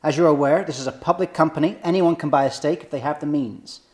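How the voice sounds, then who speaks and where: A man's voice: on the high side, natural voice. A man playing a 1980s company chairman, formally addressing his board in a drama table read. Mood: formal, grave. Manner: formal, measured speech-making.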